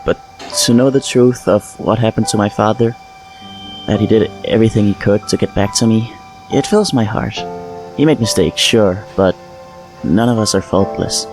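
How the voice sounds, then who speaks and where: An actor playing a solemn, resolute fungal alien in an audio drama, speaking in a measured, halting, heartfelt way. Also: male voice, on the low side, over music, natural voice, rough recording. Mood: moved, grateful. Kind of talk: full-cast audio drama acting.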